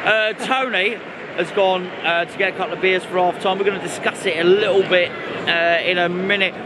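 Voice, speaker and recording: A man's voice: sits high, steady tone, fairly quick. A man hosting a fan vlog, commentating and interviewing mates with matey, sweary banter. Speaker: fan channel host and interviewer. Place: outdoors in a crowded football stadium. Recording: loud stadium crowd, noisy outdoor camera audio.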